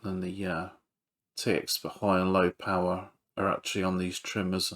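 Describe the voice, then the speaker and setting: A man's voice: low voice, monotone, normal speed, a bit bright. A man giving casual, hands-on repair commentary as he works, hesitant and thinking aloud. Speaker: electronics repairer presenting a video.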